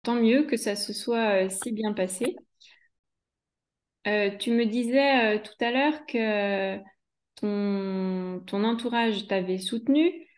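A woman interviewing a guest, asking her questions gently and hesitantly. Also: mid-range voice, takes it slowly.

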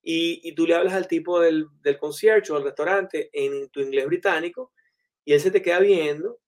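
A man telling animated, anecdotal stories in a relaxed conversation. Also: a little high, moderate pace. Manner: animated, anecdotal, many fillers. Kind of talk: casual storytelling in conversation.

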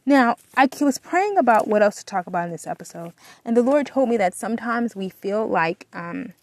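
A woman's voice: on the high side, lively intonation, normal speed, no room sound. Woman giving earnest devotional talk, dry sound. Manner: earnest, exhorting, repeats phrases for emphasis. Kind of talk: devotional encouragement talk with prayer.

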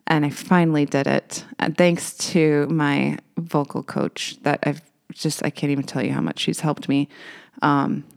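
Low, gravelly woman chatting between songs. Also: little pitch movement, a little slow. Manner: rambling, warm storytelling with fillers. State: slightly raspy voice today. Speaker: singer-songwriter. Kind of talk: casual chat between songs.

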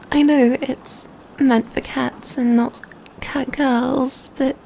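A woman playing a gentle, doting female vampire in an ASMR roleplay, speaking softly and tenderly into a close mic, often near a whisper. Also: high-pitched, level tone, extremely slow, gravelly, a little hiss or hum, exaggerated voice, poor audio. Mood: tender, playful. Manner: tender, cooing, soothing, often dropping to whispers. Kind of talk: ASMR roleplay, soft intimate speech.

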